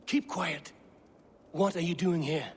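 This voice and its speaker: A man's voice: fairly high pitch, slow pace, slight background noise. A male actor voicing a level-headed Viking raider in a video game, terse and commanding. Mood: guarded, urgent. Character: stern, level-headed Viking raider, a seasoned warrior man. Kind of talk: dramatic video game acting.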